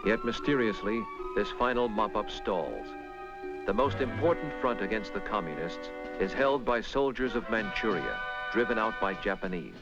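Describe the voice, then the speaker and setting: Loud music runs behind a man narrating a 1960s documentary. His voice is fairly low and grave, with measured, clipped phrasing, on a dull vintage film soundtrack. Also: lively intonation. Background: loud musical score.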